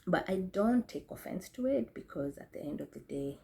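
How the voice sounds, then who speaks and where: Low, mellow-voiced young woman from Kenya. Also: lively intonation, moderate pace, vocal fry, slight background noise. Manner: thoughtful, self-correcting, frequent restarts and fillers. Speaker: speaker sharing experiences. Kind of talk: reflective talk to camera.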